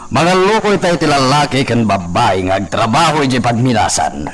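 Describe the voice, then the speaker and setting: Commanding Ilocano hacienda lord, swooping delivery. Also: male voice, mid-range voice, sing-song, moderate pace, fairly bright tone. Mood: commanding, plotting. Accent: Filipino, Ilocano. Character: scheming, commanding hacienda lord giving orders. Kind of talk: radio drama acting.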